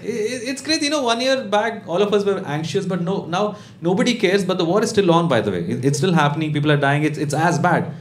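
A man in his thirties with a South Indian English accent performs stand-up comedy, conversational and deadpan, pausing and tagging lines with questions. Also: high voice. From Bangalore, India. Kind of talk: stand-up comedy routine to a live audience. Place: small indoor comedy club. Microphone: handheld-type stage microphone on a stand. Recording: echoey live club, audience noise. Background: audience laughter.

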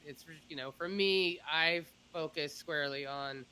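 Bright, forceful woman chatting. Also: deep voice, unhurried, a little hiss or hum, rough recording. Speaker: podcast producer and host. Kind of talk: casual panel chat. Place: indoors at home, on a video call.

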